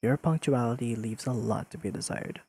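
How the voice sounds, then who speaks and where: A man playing a deadpan, pedantic but secretly fond young friend in an intimate audio roleplay, speaking in precise, dryly teasing sentences to the listener. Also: mid-range voice, normal speed, creaky, slightly rough. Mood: dry scolding. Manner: deadpan, precise, formal wording with dry teasing.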